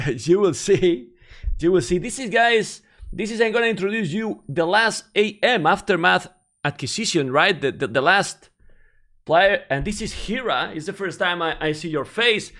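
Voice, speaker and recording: A man's voice: high voice, expressive, moderate pace, fairly bright tone. A man with Spanish as his first language, hosting a casual interview over a video call, teasing and laughing often.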